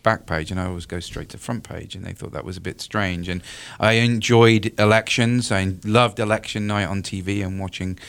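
A deep, smooth voice from a middle-aged man with a British accent. He answers as an academic guest on a radio talk show, working through his points with frequent ums and restarts and the odd chuckle. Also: steady tone, normal speed, hardly any pauses, fairly bright tone. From United Kingdom. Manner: hesitant, many fillers and restarts, chuckling asides. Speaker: political science professor.

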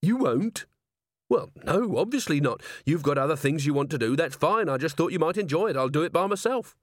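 A man's voice: fairly high pitch, animated, brisk, no room sound, voice pushed up. Guy doing a chipper wholesome young guard for an audiobook, super polite n sincere, bouncy intonation, dry close sound. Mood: earnest. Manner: polite, guileless, enthusiastic. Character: cheerful, earnest, innocent young male watchman.

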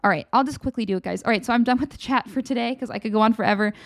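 A woman's voice: fairly high pitch, rapid-fire. A woman hosting her own live show, chatting casually and candidly with viewers and handing out frank advice. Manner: candid, advice-giving, loose and conversational. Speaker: host of a livestream show.

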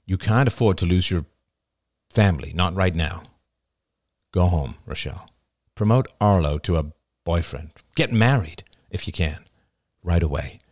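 Narrator guy as intense solemn man, deep voice, urgent and commanding, audiobook. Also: lively intonation, darkish tone, no room sound, studio quality. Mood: grave, gentle. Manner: solemn, deliberate warnings, barking when roused. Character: grave, intense male conference speaker, urgent and commanding.

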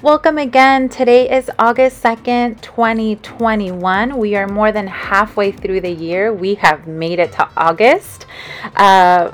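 Upbeat young woman co-host from sinaloa, mexico. her voice sits mid-pitch and her welcome sounds scripted. faint music plays under her. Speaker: podcast co-host. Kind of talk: scripted podcast intro.

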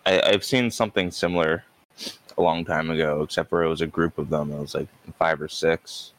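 A male podcast host with a very low voice. He asks his questions calmly and at an even pace. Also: brisk. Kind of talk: casual podcast interview.

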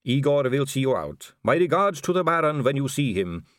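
A man voicing an aristocratic lady vampire in an audiobook, smooth, measured, knowing and condescending. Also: mid-range voice, big pitch swings, a bit bright, no echo, studio quality, pitched above the performer's own voice. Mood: polite, brisk. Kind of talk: audiobook character dialogue.

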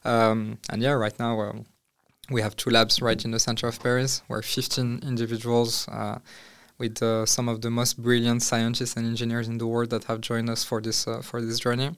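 A man's voice: mid-range voice, completely flat delivery, moderate pace, a bit bright, clear, resonant tone. A young man from Morocco, a startup founder answering interview questions with many fillers and restarts.

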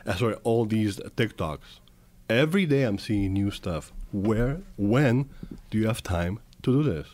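This is a man with a very deep voice who hosts a podcast. His pitch swings around a lot, and he asks teasing, playful questions in a casual chat. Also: lively intonation, unhurried, darkish tone. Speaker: podcast host.

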